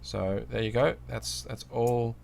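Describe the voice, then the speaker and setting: A man's voice: mid-range voice, steady tone, slow, gravelly, darkish tone, slight background noise. A man hosting a livestream with a cold and sore throat, sounding nasal as he chats casually, greets viewers and reads out the chat while he builds a kit. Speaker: livestream host.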